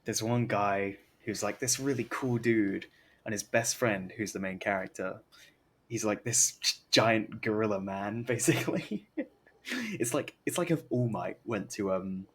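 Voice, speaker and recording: Low-voiced young man streaming a game. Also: measured pace, a bit bright. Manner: slangy, rambling, jokey. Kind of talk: casual live game stream commentary, reading aloud and reacting. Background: game music.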